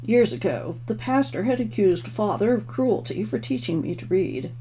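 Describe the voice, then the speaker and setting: A woman's voice: medium pitch, expressive, moderate pace, dark tone. A woman author reading her own first-person novel expressively, as a storyteller. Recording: clearly noisy home recording.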